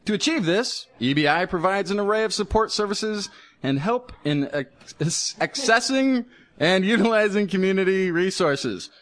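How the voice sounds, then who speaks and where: A man's voice: sits high, normal speed. A man reading a public service announcement on the radio. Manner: steady, read-aloud delivery. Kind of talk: reading a script.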